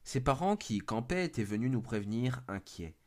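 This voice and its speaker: A man's voice: medium pitch, very animated, fairly quick, darkish tone. A man narrating a scripted first-person horror story.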